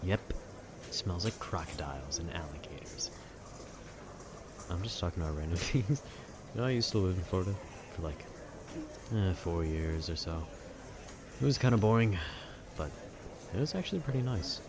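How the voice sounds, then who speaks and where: A man's voice: deep voice, animated, moderate pace, pauses often, noisy background. A man casually rambling about video games in a laid-back, meandering way full of informal slang, like an amateur host talking off the cuff. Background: outdoor city ambience.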